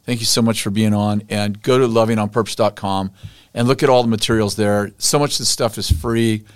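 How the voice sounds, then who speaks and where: A man's voice: lowish voice, fairly even intonation, a little fast, clear, resonant tone, dry recording, studio quality. A man hosting a podcast, speaking in an enthusiastic, encouraging way. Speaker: podcast host. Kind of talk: conversational interview.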